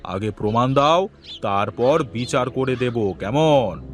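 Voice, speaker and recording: A man's voice: medium pitch, expressive, slow pace, faint music behind. A man playing a self-important, patronising village headman in a children's cartoon. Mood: dismissive. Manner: pompous, patronising. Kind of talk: Bengali children's cartoon voice acting.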